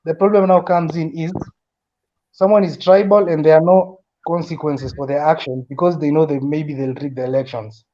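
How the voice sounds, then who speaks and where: A man's voice: a little high, fairly even intonation, normal speed, warm tone, slight background noise. A young Kenyan man with a Kenyan accent, calling into a discussion and arguing earnestly, often restarting his sentences. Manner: earnest, repeats and restarts while building an argument. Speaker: caller in a discussion. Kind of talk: casual opinion chat. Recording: dull lo-fi audio.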